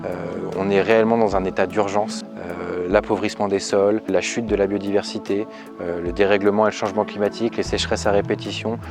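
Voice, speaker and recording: Young man, low voice, earnest campaign statement, clear music bed behind. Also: little pitch movement, brisk. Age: 20s. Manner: earnest, hesitant with fillers. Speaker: local election candidate.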